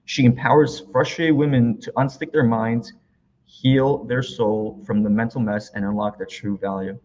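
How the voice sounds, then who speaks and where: A man's voice: mid-range voice, muffled recording. A man hosting an interview show, introducing his guest and asking questions, often stumbling and repeating his words. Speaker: show host.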